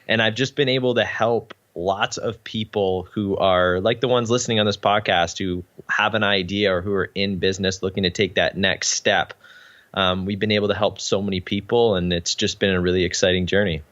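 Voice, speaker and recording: A man's voice: sits low, steady tone, normal speed, fairly bright tone, lo-fi sound. A young Canadian man, a podcast guest, talking earnestly in a casual interview with frequent ums. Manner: earnest, uses many ums.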